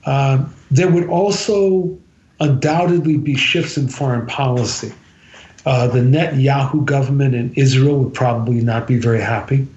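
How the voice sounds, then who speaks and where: A man giving political analysis as a podcast guest, speaking in a halting, deliberate, measured way. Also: steady tone, darkish tone. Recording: roomy, dull low-fidelity sound.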